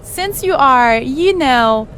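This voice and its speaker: An actress playing a flirtatious, manipulative wrestling diva in a video game, wheedling in a coy, pleading way. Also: female voice, high voice, expressive, takes it slowly, fairly bright tone, slight background noise, heavily acted. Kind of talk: scripted video game cutscene acting.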